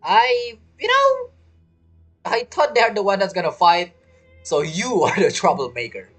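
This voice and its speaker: Animated, playful game streamer. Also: medium pitch, measured pace, faint music behind. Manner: playful, offhand reactions. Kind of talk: casual gaming commentary.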